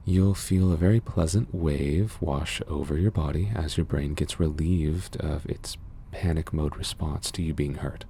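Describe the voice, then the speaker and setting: Casting: male actor as mysterious sci-fi ASMR doctor, extremely low and mellow, soothing, measured, guarded. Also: creaky, slight background noise. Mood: soothing, hypnotic. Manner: gentle, measured, formal, reassuring. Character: mysterious, calm, guarded underworld cyberpunk doctor, man. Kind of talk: ASMR roleplay, soothing scripted monologue.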